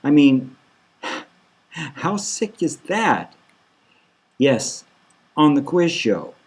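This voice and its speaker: A man reading a novel as a desperate, anguished male patient, plaintive and halting. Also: fairly high pitch, sing-song, a little mellow, breathy edge, full character voice.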